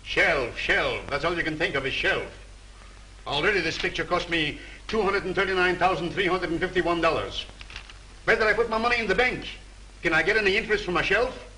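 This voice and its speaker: A cheapskate producer moaning about cash, bright sharp voice, faint hiss. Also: male voice, high-pitched, fairly quick. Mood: exasperated. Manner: grumbling, exasperated. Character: penny-pinching film producer obsessed with money. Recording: scratchy 1930s film soundtrack.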